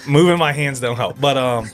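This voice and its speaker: A young man from Erie, Pennsylvania, chatting casually as a podcast guest, animated and full of dude and bro. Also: medium pitch. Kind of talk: casual chat.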